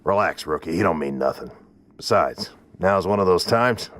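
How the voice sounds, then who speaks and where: An extremely deep, gruff veteran soldier, calm and laconic. There is faint noise behind his reassuring game dialogue. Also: moderate pace.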